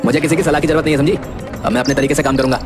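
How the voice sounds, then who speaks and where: Man voicing a rude, quick-tempered young son, high and brash, cartoon. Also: fairly even intonation, close to an everyday voice. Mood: arrogant. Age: young adult. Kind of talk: Hindi cartoon voice acting. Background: background music.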